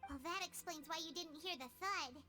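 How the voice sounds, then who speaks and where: A woman's voice: very high voice, normal speed, bright, forceful tone, faint music behind. A woman voicing a tiny, childlike, chirpy fairy companion in a video game, who speaks of herself in the third person. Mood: matter-of-fact, loyal.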